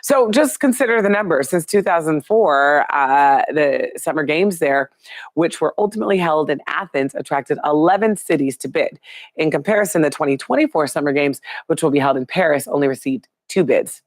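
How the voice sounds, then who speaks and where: A woman, hoarse and raspy from a cold, hosting a live news chat and greeting viewers by name. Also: low-pitched, animated, moderate pace, no echo. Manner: chatty, upbeat, throws questions to viewers. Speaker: live show host.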